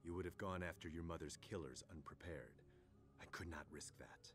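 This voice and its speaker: A man playing a grave, resolute middle-aged warrior father in a video game, speaking in a measured, formal way. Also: low-pitched, lightly acted. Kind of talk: dramatic video game acting.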